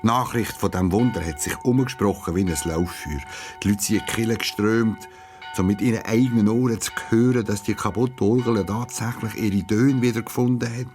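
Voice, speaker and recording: Low-voiced Swiss German storyteller, a man. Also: steady tone, fairly quick, over music. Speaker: narrator. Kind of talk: scripted children's story narration.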